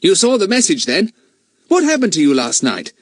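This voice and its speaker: Man reading doing a clever bossy kid, asks lots of questions, lively. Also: high voice, normal speed, dry recording, voice pushed up, full character voice. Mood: curious. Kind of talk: audiobook character voice.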